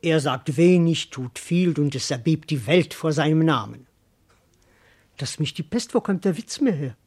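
Casting: boastful, craven manservant, classical verse radio play, very lively melody, pompous speeches broken by mutters. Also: male voice, a little high, measured pace, breathy edge, heavily acted. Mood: smug. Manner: theatrical, shifting between pompous declamation and muttering asides. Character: cowardly, boastful male servant rehearsing grand speeches.

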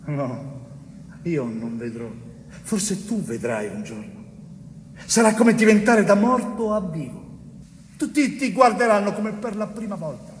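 Male actor as bewildered loving mother, theatrical, high-pitched, echoey hall, faint noise. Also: lively intonation, a little slow, pauses often. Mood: fervent hope. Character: anguished old Southern Italian mother, tender, bewildered, worn down. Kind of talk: theatrical monologue dialogue.

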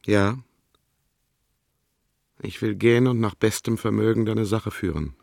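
A man's voice: on the low side, steady tone, unhurried, vocal fry, a little mellow. An actor in a German radio play, playing an amiable, earnest and hesitant man who confides in careful phrases.

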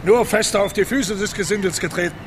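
Actor as a brash, high-pitched German emperor. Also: male voice, fast talker, background noise, close to an everyday voice. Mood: brash, dismissive. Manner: clipped, commanding, dismissive. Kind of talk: radio drama acting.

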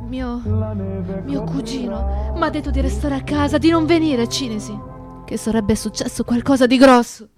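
A woman's voice: sits high, normal speed, music in the background, natural voice. A woman acting in a radio drama as a worried young woman searching for her missing comrade, urgent and emotional. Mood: uneasy.

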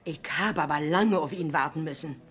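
An actress playing an excitable, nosy working-class woman with a Berlin accent in a 1970s radio crime drama, garrulous and colloquial. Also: low-pitched, moderate pace, natural voice. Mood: chatty, self-important. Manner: garrulous, colloquial, breathlessly stammering in agitation.

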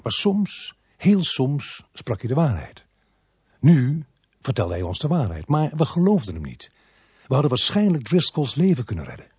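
An actor playing a reflective, rueful police investigator who narrates a radio play. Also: male voice, mid-range voice, huge pitch swings, normal speed, a little mellow, slightly rough, no room sound, full character voice.

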